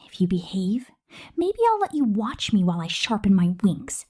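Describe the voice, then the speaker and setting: A woman voicing a possessive, cold female killer drone robot in a breathy, slow, menacing whisper for an ASMR roleplay. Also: medium pitch, very animated, dark tone.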